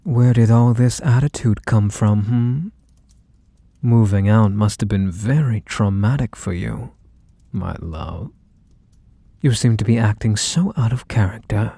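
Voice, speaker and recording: A possessive mafia boss acted out by a man croons to his lover in an ASMR roleplay. His voice is dark and very unhurried, recorded close and dry. He is teasing and condescending, with menace under the affection. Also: fairly low pitch, vocal fry, husky, no room sound, exaggerated voice. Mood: smug, affectionate. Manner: unhurried, mock-sweet, condescending pet names. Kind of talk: ASMR roleplay, intimate one-sided dialogue.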